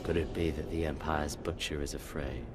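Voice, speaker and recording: An actor playing a cold, menacing imperial overlord in a video game, speaking in measured, formal, archaic phrases. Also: male voice, very deep voice, little pitch movement, over loud music. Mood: cold contempt. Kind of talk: video game cutscene acting.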